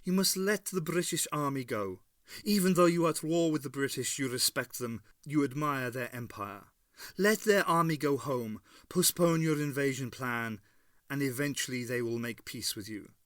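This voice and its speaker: A man voicing a calm, persuasive male time traveller in an audiobook story, speaking with quiet authority. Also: mid-range voice, unhurried, no room sound, clean studio sound.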